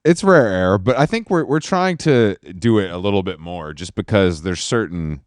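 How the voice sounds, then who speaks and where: A man co-hosting a podcast, his voice very low but lively, rising and falling a lot. He jokes and teases, sometimes going mock-solemn. The sound is close and dry. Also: normal speed, dry recording. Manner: jokey, teasing, mock-solemn. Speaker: podcast co-host. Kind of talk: casual podcast chat.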